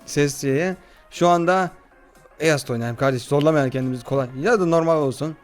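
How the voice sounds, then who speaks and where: A man hosting a horror game playthrough in slangy, excitable, casual chat, often addressing his viewers. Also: fairly high pitch, a little fast, soft background music. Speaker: gaming video host.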